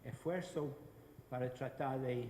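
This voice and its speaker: An older man with a Venezuelan-tinged Spanish accent, giving an unhurried, plain-spoken conference talk in a large hall. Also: medium pitch, a little mellow, a little hiss or hum. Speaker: conference panelist. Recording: long hall reverb.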